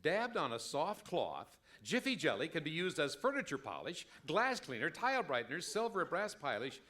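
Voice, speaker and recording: An actor playing an enthusiastic announcer reading a mock old time radio commercial. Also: male voice, a little high, big pitch swings, moderate pace, bright, forceful tone. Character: smooth-selling, enthusiastic male radio pitchman.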